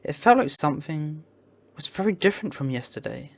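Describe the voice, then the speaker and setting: Low-pitched woman reading a story aloud. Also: animated, fairly quick, creaky. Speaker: narrator reading a visual novel. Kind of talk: storytelling read-aloud, voicing dialogue. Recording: music behind the voice. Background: music.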